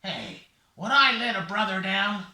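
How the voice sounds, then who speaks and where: A man voicing a brash, smooth-talking raven who poses as a reassuring dentist in a children's story. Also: high-pitched, sing-song, a little slow, pushed and bright, voice pushed up, exaggerated voice. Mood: confident, reassuring. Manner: smooth-talking, emphatic, salesman-like patter. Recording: roomy echo, distant mic.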